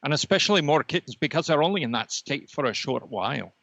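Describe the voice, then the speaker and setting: A man plays a superior, matter-of-fact energy being, the sworn rival of cats, in a comedy podcast. The voice is creaky and bright, recorded dry. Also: on the high side, expressive, fast, no echo, dull audio, full character voice. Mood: accusing. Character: smug male red laser dot, cat-hating energy being. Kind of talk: in-character improvised comedy interview.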